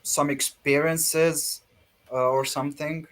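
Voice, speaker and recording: Male guest speaker, Bosnian accent, voice a little higher than average, halting conversational delivery. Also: steady tone, a bit bright. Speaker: guest speaker at an online ham radio expo. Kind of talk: informal question-and-answer after a presentation.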